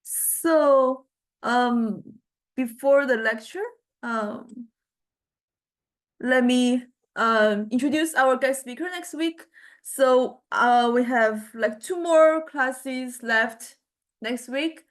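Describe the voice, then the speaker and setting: Halting woman lecturer with a higher voice. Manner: halting, hesitant, with frequent stammered word starts. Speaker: university music lecturer. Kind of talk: informal lecture to a class.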